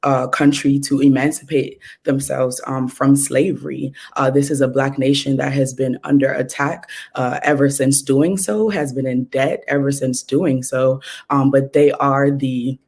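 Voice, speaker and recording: A woman's voice: rumbling low, steady tone, unhurried, darkish tone, breathy edge. A woman from Trinidad and Tobago, a guest activist, speaking earnestly and with passion over a remote call. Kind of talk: casual podcast interview. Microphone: remote call mic.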